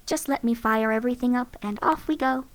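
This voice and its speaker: A woman's voice: a little high, fairly quick, no room sound, lightly acted. A woman voicing a wry game companion. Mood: offhand. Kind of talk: game character voice lines.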